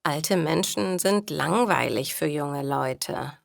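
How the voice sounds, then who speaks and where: A woman voicing a kind but shrewd, plain-spoken elderly grandmother in a storybook read for language learners, with deliberate, matter-of-fact delivery. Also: deep voice, creaky, no echo, studio quality, voice pushed down, heavily acted. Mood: frank, encouraging.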